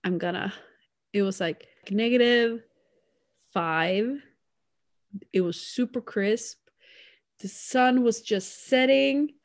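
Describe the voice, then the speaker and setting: A young woman from Sweden with a Swedish accent, co-hosting a casual chat podcast, chatty and quick to act out what people said. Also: a little high. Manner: chatty, quotes people in animated bursts, laughs often. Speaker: podcast co-host.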